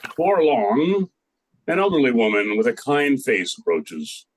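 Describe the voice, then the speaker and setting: A man's voice: mid-range voice, sing-song, measured pace, low-quality recording. An older man narrating a scripted radio play as its storyteller.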